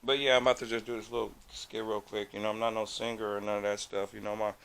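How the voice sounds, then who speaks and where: A man with a raspy voice, hosting his own channel and giving a casual tour of his home game room in loose, slang-filled talk. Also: fairly low pitch, level tone, fast talker, fairly bright tone.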